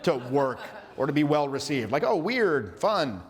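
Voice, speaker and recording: Playful coach in a reverberant hall. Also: male voice, fairly high pitch, very animated, a little slow. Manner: playful, loose, lots of filler words and restarts. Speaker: social skills coach. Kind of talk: energetic live workshop teaching.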